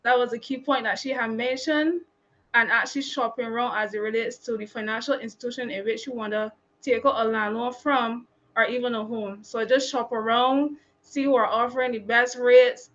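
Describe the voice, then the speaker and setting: A woman from Barbados with a fairly high voice leads a panel, encouraging and recapping the main points. The sound is somewhat dull and lo-fi. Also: steady tone, brisk. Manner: encouraging, repeats key points. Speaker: panel moderator. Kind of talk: panel discussion.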